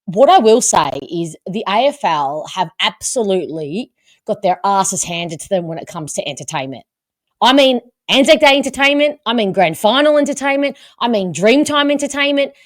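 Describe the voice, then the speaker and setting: Animated woman, dry close sound. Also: mid-range voice, normal speed, no room sound. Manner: animated, emphatic, repeats words for effect. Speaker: podcast host. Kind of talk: casual sports chat.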